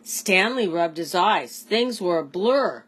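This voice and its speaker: A woman, a teacher, reading aloud to children in an expressive storybook style. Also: on the low side, slow pace, breathy edge.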